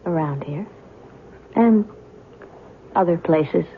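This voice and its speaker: An actress playing a mysterious, self-assured woman who speaks in a cool, cryptic, measured way in a vintage radio drama. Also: on the low side. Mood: cool, dismissive. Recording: tinny, band-limited, noisy old radio broadcast.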